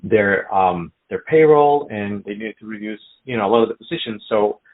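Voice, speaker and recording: A middle-aged man from Colombia, a business guest in a casual interview, speaking haltingly with many fillers and restarts. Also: lowish voice. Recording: phone-like narrow sound.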